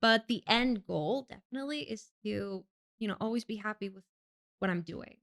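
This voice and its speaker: Woman guest from Greece, smooth clear voice, relaxed chat with frequent ums. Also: mid-range voice, moderate pace. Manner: chatty, laughs often, many ums. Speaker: podcast guest. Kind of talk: casual interview chat.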